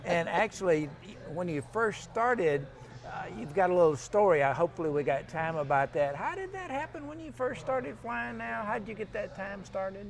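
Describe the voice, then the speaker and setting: A man's voice: sits high, animated, normal speed, creaky. A man hosting a casual on-location interview in a jokey, teasing way. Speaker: TV host and aviation producer. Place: aviation museum at an air show.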